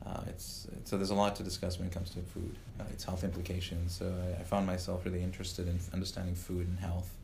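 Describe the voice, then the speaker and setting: A man in his thirties from Uganda, a professor answering interview questions in a measured way, with many "uh" fillers and restarts. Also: low-pitched, completely flat delivery, vocal fry, a little mellow, slight background noise. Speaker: professor and guest. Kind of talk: podcast interview.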